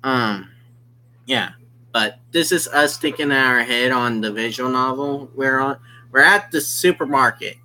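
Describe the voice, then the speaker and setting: A young man casually commenting on a visual novel and reading its text aloud, slangy and excitable. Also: medium pitch, a little slow, poor audio. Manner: slangy, excitable, exclaims often. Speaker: let's play gamer.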